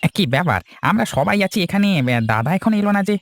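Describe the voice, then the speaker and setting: A man's voice: sits high, big pitch swings, quick pace, gravelly, no room sound, exaggerated voice. A man voicing a peacemaking younger brother in a village family cartoon. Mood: placating. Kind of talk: cartoon family drama dialogue.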